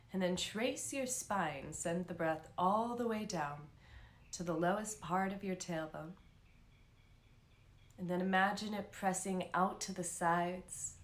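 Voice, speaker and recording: A woman leading a live guided meditation as an instructor, speaking in a calm, soothing, unhurried way with long pauses. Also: medium pitch, roomy sound.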